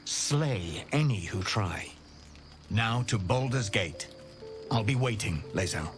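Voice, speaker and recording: An actor playing a solemn alien warrior knight turned secret rebel in a fantasy game, speaking in a measured, formal way. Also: male voice, lowish voice, expressive, soft background music. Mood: solemn, commanding. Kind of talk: cinematic fantasy game acting.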